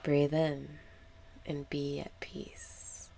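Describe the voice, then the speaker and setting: A woman leading a guided meditation in a calm, soothing manner, with soft, sometimes breathy phrasing and deliberate pauses. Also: deep voice. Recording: background noise with ambient sound.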